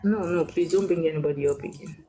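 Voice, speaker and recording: A woman's voice: on the low side, fast, dark tone, faint music behind. A woman hosting a live call-in chat, speaking in a directive way to the people who join. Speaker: livestream host giving spiritual consultations. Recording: noisy livestream with clicks.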